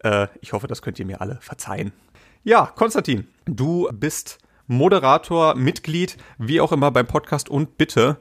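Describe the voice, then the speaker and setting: A man's voice: mid-range voice, lively intonation, normal speed. Joking young male podcast host. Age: young adult. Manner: chatty, joking, with frequent filler sounds. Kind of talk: casual interview chat.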